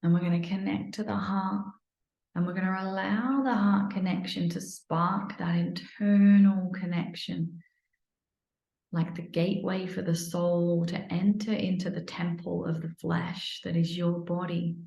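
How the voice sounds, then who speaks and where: A woman leads a guided meditation in a soothing, unhurried manner, slipping at times into near-whispers. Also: lowish voice, level tone, gravelly, mellow. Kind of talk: guided meditation, improvised live. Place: indoor room, live online video session. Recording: roomy, echoey sound.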